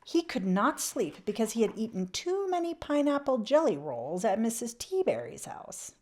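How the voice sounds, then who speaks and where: A woman reading a children's picture book aloud expressively, with emphatic swells and hushed drops. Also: fairly high pitch, very animated, moderate pace. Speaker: children's read-aloud channel host.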